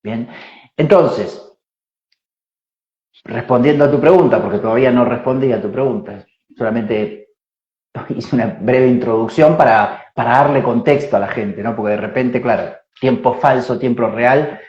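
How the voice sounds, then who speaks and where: A man in his forties, a spiritual teacher, explains ideas slowly and reflectively, thinking aloud with fillers and tag questions. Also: mid-range voice, a little mellow. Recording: roomy, dull lo-fi sound.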